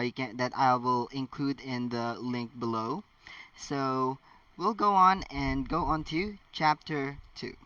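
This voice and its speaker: A man's voice: mid-range voice, fairly bright tone, no room sound, distant mic, lo-fi sound. A man hosting a casual story read-aloud, chatty and joking, with rambling asides. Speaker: host of a fan fiction reading channel.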